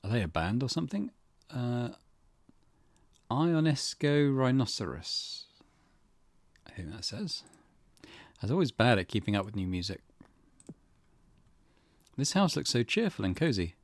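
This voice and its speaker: A man giving let's play commentary and reading game text aloud, thinking out loud as he goes. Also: mid-range voice, very animated, unhurried, pauses often, no echo. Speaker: gaming channel host. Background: game music.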